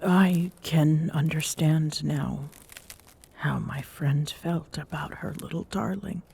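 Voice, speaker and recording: A woman playing a regal, smitten Amazonian queen in an intimate ASMR romance roleplay, speaking formally and softly with coy pauses, often near a whisper. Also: sits low, vocal fry, breathy edge, full character voice. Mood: fond, wistful. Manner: formal, haughty diction with coy hesitations and murmured endearments.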